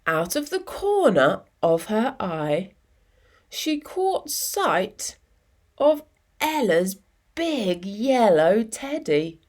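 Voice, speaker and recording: A woman reading a children's story expressively, with measured phrasing and dramatic pauses. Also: medium pitch, sing-song.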